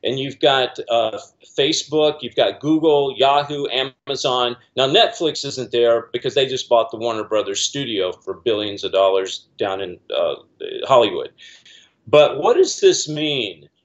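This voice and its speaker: A man's voice: mid-range voice, lively intonation, measured pace. Middle-aged man, video call from home, earnest, rambling, many fillers, slightly dull audio. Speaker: guest commentator. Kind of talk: casual video-call discussion. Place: small room at home, indoors.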